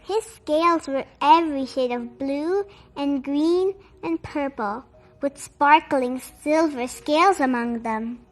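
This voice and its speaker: Lady reading kids story, high voice, really slow and expressive, bit of music behind. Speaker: storytime read-aloud host. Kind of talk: children's storybook narration.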